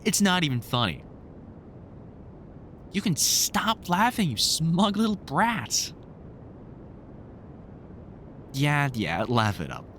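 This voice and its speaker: A man's voice: a little high, huge pitch swings, slow pace, fairly bright tone, a little hiss or hum, full character voice. A man playing a wry, grumpily fond vampire hunter in a conversational audio roleplay, teasing and swearing through rambling explanations. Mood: mock annoyed.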